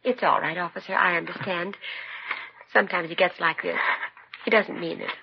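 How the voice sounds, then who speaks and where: A woman's voice: on the low side, fairly even intonation, normal speed, creaky. Smothering mother in muffled old radio drama. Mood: brisk, controlling. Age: middle-aged. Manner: fussing, imperious, cooing over her son. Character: smothering, domineering middle-aged mother of a grown son. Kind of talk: 1950s radio drama acting. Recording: muffled, noisy 1950s radio broadcast with clicks.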